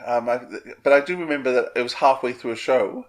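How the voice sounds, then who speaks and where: Mid-pitched man chatting casually. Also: quick pace. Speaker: podcast co-host. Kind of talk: casual podcast chat.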